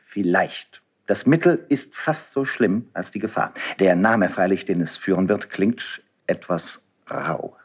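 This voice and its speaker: A man's voice: sits low, a little slow, few pauses, husky. An actor playing an idealistic nobleman and conspirator in a classical verse radio drama, earnest, rhetorical and impassioned. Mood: measured, secretive.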